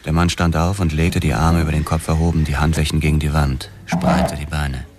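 A man's voice: extremely low pitch, little pitch movement, fairly quick, noisy background. A man playing a weary, terse police detective narrating a noir radio drama. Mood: terse, controlled.

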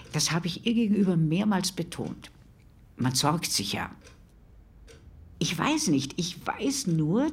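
An actress playing a chatty, defensive neighbour who rambles confidingly in a radio drama interview. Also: female voice, low-pitched, a little hiss or hum, exaggerated voice. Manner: rambling, self-justifying, confiding. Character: chatty, defensive neighbour woman gossiping about a recluse.

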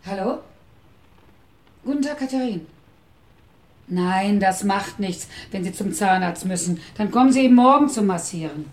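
A woman's voice: medium pitch, animated, normal speed, pauses often, close to an everyday voice. An actress playing a chatty, easily dismayed woman carer in a radio drama, exclaiming and fretful but deferential. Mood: taken aback.

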